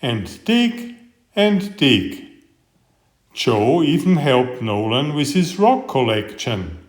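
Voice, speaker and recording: A man reading a children's bedtime story aloud with expressive, deliberate storytelling. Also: medium pitch, echoey room. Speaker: storybook reader.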